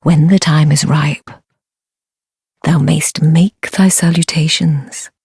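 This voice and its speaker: Deep, breathy, courtly dark noblewoman. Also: steady tone, no echo, studio quality, heavily acted. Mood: grave, scheming. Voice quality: low, breathy. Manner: measured, formal archaic speech, deferential yet commanding. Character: sly, courtly, devoted dark-fantasy noblewoman. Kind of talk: fantasy video game acting.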